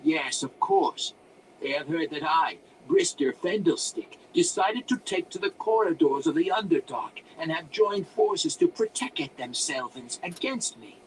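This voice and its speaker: Shrill, ranting old wizard. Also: male voice, very animated, measured pace, a little hiss or hum, low-quality recording. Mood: smug musing. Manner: shrieking, rambling, broken grammar. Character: hysterical, ranting old wizard, cranky paranoid hermit. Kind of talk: audiobook character voice.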